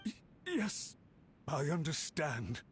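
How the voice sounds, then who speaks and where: A man's voice: fairly high pitch, wildly swooping pitch, slow, slight background noise, exaggerated voice. An actor voicing an anxious, servile official in a video game, pleading deferentially with his superior. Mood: cowed, deferential. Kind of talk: English-dubbed video game dialogue.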